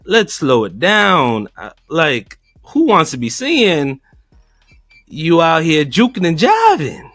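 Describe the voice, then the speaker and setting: A man co-hosting a reaction talk show, animated and joking, swinging from low asides to loud outbursts. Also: dry recording. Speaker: podcast co-host. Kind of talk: casual reaction chat.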